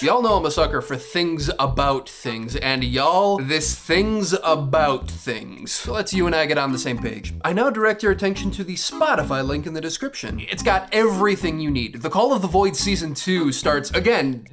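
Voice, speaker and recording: Male video essay host, highish and lively, casual comic delivery with sardonic emphasis, music bed behind. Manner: sarcastic, theatrical, emphatic asides. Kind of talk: scripted video essay narration, casual and comedic.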